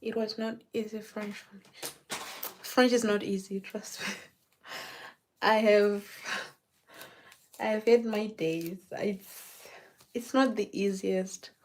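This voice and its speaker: A woman's voice: medium pitch, steady tone, slow, long pauses, slight background noise. A woman from Zimbabwe, chatting casually with a client while styling her hair, often repeating words as she restarts her sentences. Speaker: hairstylist.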